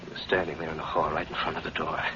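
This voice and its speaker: A man's voice: rumbling low, completely flat delivery, rapid-fire, music in the background. A man playing a jittery, defensive hard-boiled American narrator in an old-time radio thriller, slangy and dramatic. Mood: grim, haunted. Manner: slangy, stammering under pressure, dramatic. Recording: muffled, very noisy old radio recording.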